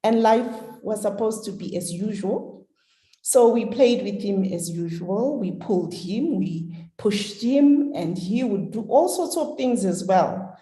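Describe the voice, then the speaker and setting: A middle-aged woman from Zimbabwe telling her story in a measured, storytelling way on a webinar. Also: mid-range voice, animated, mellow, reverberant, low-quality recording. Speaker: hemophilia carrier sharing her story. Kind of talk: webinar talk.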